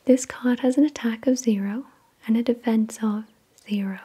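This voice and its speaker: A woman hosts ASMR in a very breathy, unvoiced whisper. Her voice is soft and dark in tone, sitting fairly high for a woman. She reads slowly and deliberately, in a soothing manner. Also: steady tone. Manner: slow, deliberate, soothing reading. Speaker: ASMR video host. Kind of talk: whispered ASMR card reading.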